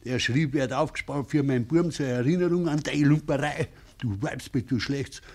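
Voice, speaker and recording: An actor as a husky, wily Bavarian farmer. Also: male voice, mid-range voice, normal speed, darkish tone, close to an everyday voice. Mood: indignant, scornful. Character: gruff, wily Bavarian farmer, amorous then scornful. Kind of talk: 1950s dialect radio drama acting.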